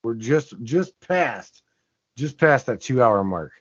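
Earnest stammering podcast host, muffled. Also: male voice, medium pitch, very animated, slow pace, slightly rough, no room sound. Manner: earnest, stammers and repeats himself. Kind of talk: casual chat. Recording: muffled lo-fi sound.

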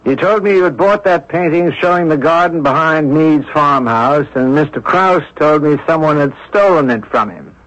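An actor playing a stern, probing male investigator in a radio drama, speaking deliberately and pointedly. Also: fairly high pitch, fairly bright tone, close to an everyday voice. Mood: stern, disappointed. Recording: dull, lo-fi old radio recording.

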